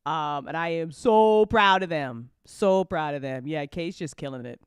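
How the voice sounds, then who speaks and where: Woman hosting a live stream, super informal, smooth clear voice, repeats herself a lot. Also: sits low, unhurried, no room sound. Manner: chatty, informal, swearing, repeats phrases for emphasis. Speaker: host of an audio drama after show. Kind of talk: casual live stream chat. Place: close indoor mic on a live stream.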